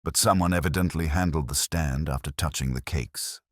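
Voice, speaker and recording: A man voicing a calm, shrewd, courteous police inspector in a storybook mystery, speaking gently and deliberately. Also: extremely low pitch, dry recording, studio quality. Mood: calm, thoughtful.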